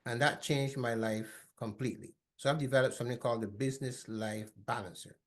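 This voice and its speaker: A man's voice: mid-range voice, steady tone, fairly quick. A man from Barbados speaking as a panelist in a discussion, hesitant and often restarting.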